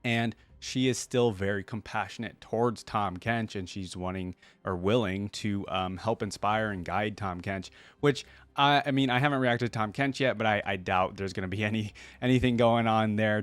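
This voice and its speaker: A man's voice: on the low side, brisk, few pauses, clear, resonant tone, no room sound. A man giving casual reaction commentary as a host, rambling as he thinks aloud.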